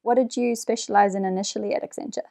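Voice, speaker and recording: A woman's voice: mid-range voice, steady tone, quick pace, creaky, warm tone. A woman hosting a podcast, reading a scripted intro and then asking friendly questions. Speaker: podcast host. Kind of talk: podcast interview.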